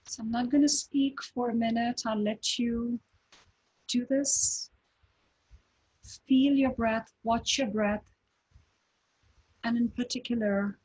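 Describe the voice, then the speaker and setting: A woman guiding a breathing meditation, speaking calmly with long pauses between short phrases. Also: on the high side, husky, poor audio. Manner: slow, calm, with long pauses. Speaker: meditation teacher.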